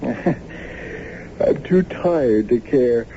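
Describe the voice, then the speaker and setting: An actor playing an easygoing, tired young working husband in a naturalistic radio drama, casual and low-key. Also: male voice, expressive, extremely slow. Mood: tired, relaxed. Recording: muffled, faintly noisy old radio broadcast.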